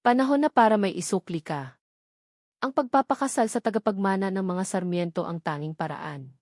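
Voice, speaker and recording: A woman's voice: medium pitch, a little fast, a little mellow, dry recording, close to an everyday voice. A woman narrator plays a wealthy Filipina stepmother in a storybook romance drama. She is stern and reproachful, issuing cold commands in a mid-range voice with dry, close sound.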